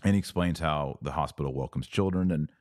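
A man's voice: a bass voice, brisk, a little mellow, dry recording, clean studio sound. A man hosting a religious commentary podcast, reading text aloud and remarking on it in an emphatic, questioning manner.

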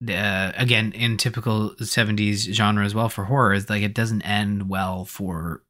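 A man's voice: on the low side, fairly even intonation, normal speed, fairly bright tone. A man co-hosting a casual film discussion in loose, rambling, hesitant phrases. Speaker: podcast co-host.

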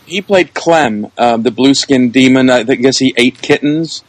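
A man's voice: medium pitch, measured pace, dry recording, rough recording. Effusive man in a dry-sounding interview. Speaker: interview guest, producer, director and actor. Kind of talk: casual podcast interview.

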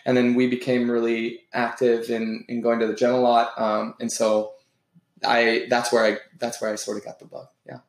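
Thirtysomething midwest guy on a podcast, stop-start talk, mid pitch, echoey. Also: monotone. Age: 30s. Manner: halting, restarts often. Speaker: podcast guest, gym owner. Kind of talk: casual interview talk.

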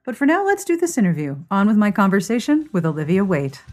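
A woman's voice: medium pitch, sing-song, fast, a little mellow, faint music behind. A woman hosting a podcast, reading scripted intros and sponsor messages. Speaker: podcast host. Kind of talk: scripted podcast narration and ad reads.

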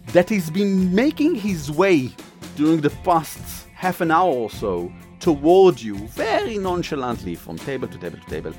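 A man with an Israeli accent explaining and narrating as game master in a halting, casual, enthusiastic way. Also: on the high side, a little mellow. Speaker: game master, game designer and writer.

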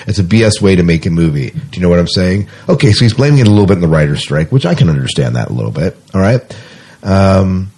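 Man, deep voice, husky edge, podcast co-host, casual news chat, opinionated, blunt. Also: big pitch swings, fast talker, darkish tone. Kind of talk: casual science fiction news chat, partly reading items aloud.

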